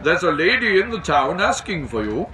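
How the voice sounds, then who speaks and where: A folksy, chatty village policeman from a storybook, played by a man. His voice is raised and animated, with bustle outdoors behind it. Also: sits high, normal speed, a crowd in the background, voice pushed up. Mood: confiding. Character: chatty, gossipy village policeman, amused and deferential. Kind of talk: storybook reading.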